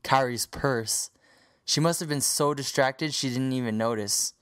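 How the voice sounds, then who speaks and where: A man's voice: medium pitch, measured pace, a bit bright, clean tone. A man reading scripted story narration as the narrator of an audio drama.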